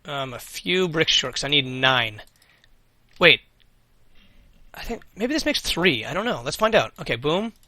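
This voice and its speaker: A man with a bright, forceful voice, losing his voice and talking over a video game. He hosts a let's play, muttering his plans aloud and swearing casually when things go wrong. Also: on the high side, very animated, measured pace, no echo. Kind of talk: casual gameplay commentary.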